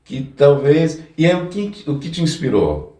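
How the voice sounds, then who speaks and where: A Brazilian man hosting a casual interview, speaking in a halting, reflective way with frequent tag questions. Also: on the high side, big pitch swings, dark tone. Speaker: interviewer, host. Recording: echoey room, distant from mic.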